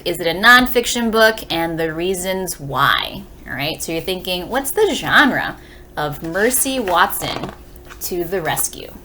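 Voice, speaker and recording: A woman's voice: medium pitch, fairly bright tone, a little hiss or hum. A woman teacher giving a read-aloud lesson for young children, explaining and reading as she speaks to them directly. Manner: addresses young listeners directly with simple questions. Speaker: elementary school teacher.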